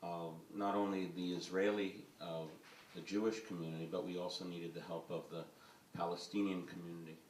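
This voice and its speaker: A man's voice: deep voice, level tone, fairly quick, recorded from a distance, a little hiss or hum. A man, a film director on a panel, speaking in a rambling way full of hesitations. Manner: rambling, filled with uhs and ums. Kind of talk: panel discussion. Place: event hall with audience. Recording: roomy hall miked from afar.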